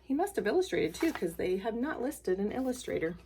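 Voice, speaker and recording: A woman's voice: fairly high pitch, big pitch swings, brisk, noisy background. A woman speaking casually and simply to children, in the manner of a school nurse at story time. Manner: plain, simple, child-directed phrasing. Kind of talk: casual talk to children and read-aloud storytelling.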